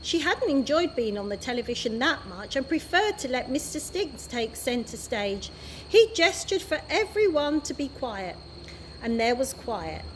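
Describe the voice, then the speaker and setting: A woman, an early years practitioner, reading a children's chapter book aloud in a steady, expressive way. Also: high-pitched, measured pace, a little hiss or hum, rough recording.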